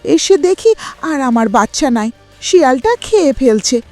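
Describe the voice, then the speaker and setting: Woman doing a tiny heartbroken mama bird, really high swoopy pleading voice, cartoon story, music under it. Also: sing-song, a little slow, a little mellow. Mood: grieving, pleading. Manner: plaintive, pleading. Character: grieving mother tailorbird, small, pleading for justice. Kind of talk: cartoon moral story dialogue. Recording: music behind the voice.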